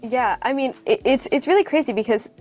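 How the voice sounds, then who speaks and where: Gushing, slangy young-sounding actress. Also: female voice, fairly high pitch, a little fast, soft background music. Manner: gushing, slangy, animated storytelling. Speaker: actress being interviewed. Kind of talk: casual promotional interview.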